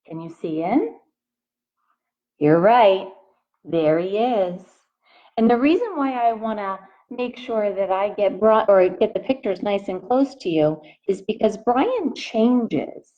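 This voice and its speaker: A woman teacher reading a picture book aloud to young children in a deliberate, expressive way, speaking straight to her listeners. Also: mid-range voice, dull audio.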